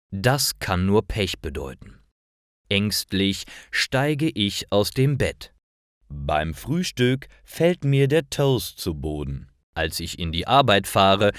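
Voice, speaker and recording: A man narrates simple stories for learners in a low voice, enunciating carefully. His delivery is very slow, with animated swings in pitch, and the sound is close and dry. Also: dry recording. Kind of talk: scripted storytelling for language learners.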